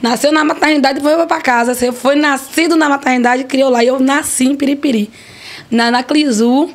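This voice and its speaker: A woman, a restaurant owner telling her life story in a relaxed podcast interview, lively and full of jokes. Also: high voice, fairly quick. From Brazil. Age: 40s. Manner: lively, joking, emphatic storyteller.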